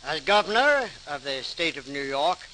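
An actor playing a dignified, approving state governor with a formal declarative manner in a 1940s radio drama. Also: male voice, on the high side, sing-song, moderate pace, pushed and bright. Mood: satisfied, dignified. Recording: hissy, crackly 1940s radio recording.

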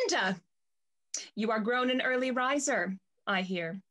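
A woman acts out a jealous Restoration lady with a high voice and lively swings of pitch. She is haughty and indignant, playing it theatrically as in a stage comedy. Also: full character voice. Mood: jealous, bitter. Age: young adult. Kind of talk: Restoration comedy stage acting over video call. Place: small room at home. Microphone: video call.

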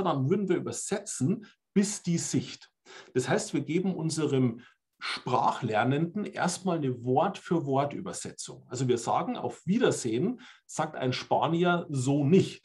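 A man's voice: mid-range voice, darkish tone. A German man in his forties giving an explanatory online presentation on language learning, often adding "ne?" to check in with listeners. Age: 40s. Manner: explanatory, uses tag questions like "ne?". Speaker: language trainer. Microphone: webcam on video call.

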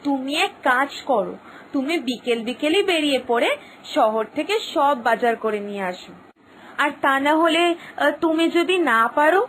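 A woman's voice: high-pitched, fairly bright tone, low-quality recording. A woman playing a gossipy village wife in a cartoon, chatty and sure of her advice. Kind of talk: cartoon story acting.

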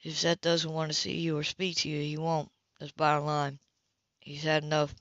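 Audiobook role: blunt, challenging man read by a woman, sardonic and guarded, lowered pitch. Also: completely flat delivery, moderate pace, no echo, muffled recording, natural voice. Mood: curt, sardonic. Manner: terse, challenging.

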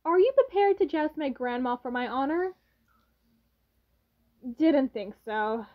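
A woman playing a cheerful, excitable sports co-commentator in a comedic radio play, with an animated announcer delivery. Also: sits high, unhurried. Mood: quipping. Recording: dull, noisy amateur recording.

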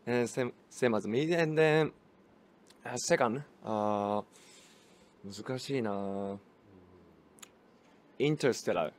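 Young Japanese-native male actor, unhurried, hesitant English, mid pitch, held mic. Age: young adult. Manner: halting, searching for English words. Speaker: actor guest. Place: small room at a film festival. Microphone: handheld mic held by interviewer.

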